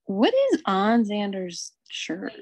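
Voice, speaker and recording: A woman's voice: mid-range voice, takes it slowly, creaky, darkish tone, no echo. A woman on a podcast panel, chatting casually about a TV episode.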